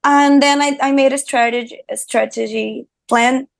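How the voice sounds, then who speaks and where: A woman's voice: sits high, fairly even intonation, normal speed, creaky, dry recording, clean studio sound. A young woman from Brazil with a Brazilian Portuguese accent, a singer and streamer chatting as a guest in an interview, upbeat and full of fillers and restarts. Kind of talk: casual interview chat.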